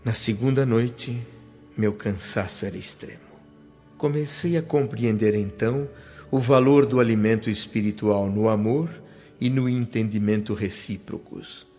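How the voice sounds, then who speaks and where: A man playing a humble, reflective spirit who narrates a radio drama in earnest, measured phrases with a Brazilian accent. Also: fairly low pitch, dark tone, natural voice. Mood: solemn, reflective. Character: reflective, humble male spirit recounting his awakening. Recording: dull audio with music behind.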